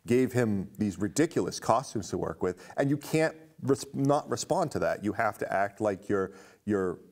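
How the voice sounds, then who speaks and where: A man's voice: fairly low pitch, animated, moderate pace, darkish tone. A man in his thirties hosting a casual film discussion, talkative and enthusiastic. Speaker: host of a film discussion show. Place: indoor studio stage with curtain.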